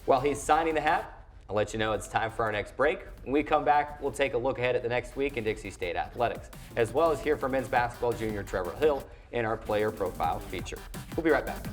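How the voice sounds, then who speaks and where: A man's voice: mid-range voice, fast, faint music behind. A man with an American accent hosting a sports show in a conversational broadcast style. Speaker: sports show host, play-by-play announcer.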